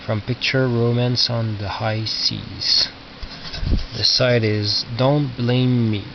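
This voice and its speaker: A man talks through his collection in a casual, halting way, muttering asides to himself as he goes. His mid-pitched voice sits back from the mic in a dull recording with very noticeable noise. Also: steady tone, vocal fry. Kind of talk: casual unscripted show-and-tell commentary. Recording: lo-fi, noisy, distant mic with clicks.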